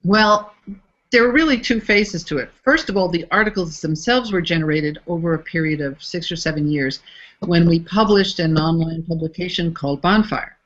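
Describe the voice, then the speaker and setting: A woman's voice: lowish voice, moderate pace, muffled recording. A woman from the United States, a facilitator being interviewed, speaking thoughtfully and searching for words as she goes. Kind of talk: reflective interview talk.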